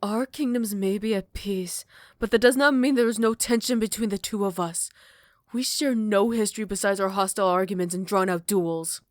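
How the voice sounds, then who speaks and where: A woman's voice: medium pitch, steady tone, normal speed, no room sound, clean studio sound, close to an everyday voice. A woman voicing a haughty vampire queen. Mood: indignant. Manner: hushed whispered storytelling broken by sharp, scornful retorts. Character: proud, haughty female vampire queen, centuries old. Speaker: voice actor. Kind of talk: ASMR roleplay.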